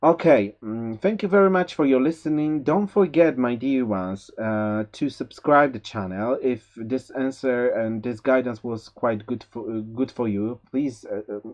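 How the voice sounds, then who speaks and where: A man with a Polish accent reading a tarot forecast, giving gentle spiritual advice with many fillers and restarts. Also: medium pitch, a little slow, hardly any pauses. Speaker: tarot reader. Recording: slightly dull lo-fi sound.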